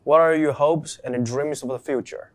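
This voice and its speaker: A man's voice: fairly high pitch, fairly even intonation, normal speed. A teenage boy interviewing a guest for a class project, reading questions and answering with short murmurs. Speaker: student interviewer. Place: school studio.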